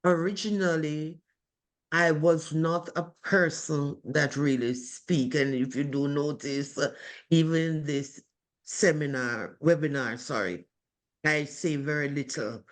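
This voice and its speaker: Slow, deliberate woman with a strong voice. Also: low-pitched. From from abroad. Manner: slow, deliberate, with many pauses and fillers. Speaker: webinar participant. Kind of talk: reflective group discussion. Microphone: video call.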